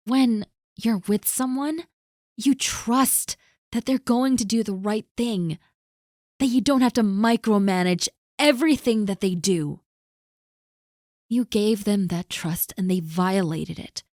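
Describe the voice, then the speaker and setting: A woman performing a scripted audio roleplay, gently and earnestly reassuring a friend who is spoken to directly. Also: mid-range voice, takes it slowly, no room sound, clean studio sound.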